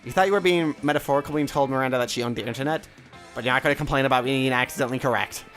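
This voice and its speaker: Quick player reading out game text, dry little asides, music faint underneath. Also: medium pitch, a bit bright. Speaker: let's play narrator.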